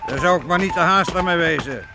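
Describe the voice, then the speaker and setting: A man's voice: a little high, quick pace, close to an everyday voice. An actor playing a fatherly, hearty medieval guild master in a radio play, with a folksy, jovial manner. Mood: earnest, fatherly. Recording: noisy old radio play recording.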